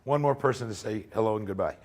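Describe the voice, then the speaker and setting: A man's voice: fairly low pitch, brisk, slight background noise. A man giving an informal technical lecture at a workshop while losing his voice, often checking in with "okay?". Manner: conversational, often ends points with "okay?". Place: lecture room.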